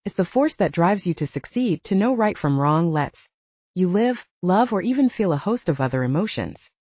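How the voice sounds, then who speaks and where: A woman's voice: on the low side, big pitch swings, moderate pace, dark tone, slightly rough, dry recording, highs cut off. A woman voicing a protective, weakened male werewolf in an audiobook, speaking urgently and pleadingly. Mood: urgent warning. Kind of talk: audiobook character dialogue.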